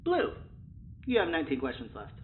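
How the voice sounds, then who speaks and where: A thin, phone-like voice of a polite possible AI in an audio drama. It is fairly high and animated, chatting in a friendly, careful, slightly awkward way. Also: male voice, brisk. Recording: thin phone-like band, faint noise.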